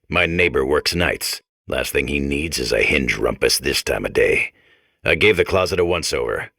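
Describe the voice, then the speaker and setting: An actor playing a dull, world-weary hard-boiled detective robot, narrating in clipped deadpan noir parody with a gravelly voice. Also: male voice, rumbling low, no room sound, clean studio sound, exaggerated voice.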